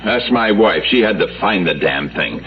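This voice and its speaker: An actor playing a fed-up, complaining husband in a radio drama, exasperated and grumbling. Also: male voice, lowish voice, expressive, normal speed, slight background noise, lightly acted. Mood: irritated. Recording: muffled old radio broadcast, background voices.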